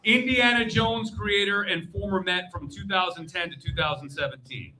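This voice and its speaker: A man hosting lively live trivia on stage, blunt and joking, reacting quickly with exclamations. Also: sits high, low-quality recording. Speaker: game show host at a fan convention panel. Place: live stage before an audience at a convention.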